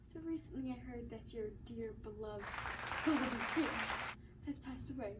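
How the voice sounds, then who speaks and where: A woman's voice: a little high, moderate pace, over a noisy crowd. A woman hosting a staged interview, introducing the guest and putting questions. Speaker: interviewer, show host. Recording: noisy, distant microphone.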